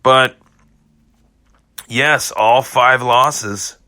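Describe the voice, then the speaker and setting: A man hosting a solo sports podcast, hoarse and a bit hungover, rambling through fight reactions with many fillers and restarts. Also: mid-range voice, steady tone, drawn-out words, bright, forceful tone, no echo, studio quality. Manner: rambling, repeats himself, frequent fillers. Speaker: podcast host.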